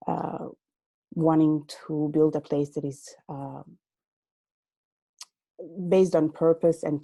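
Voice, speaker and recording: A woman's voice: sits low, gravelly, dark tone, no room sound. A woman from Italy, a gallery owner and artist answering interview questions hesitantly on a video call. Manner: hesitant, many fillers.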